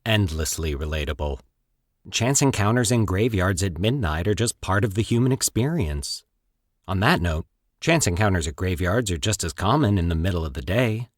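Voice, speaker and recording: A man with a low voice reads scripted horror fiction as a podcast narrator. His delivery is measured and theatrical, with lively rises and falls, recorded dry with no room sound. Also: no room sound.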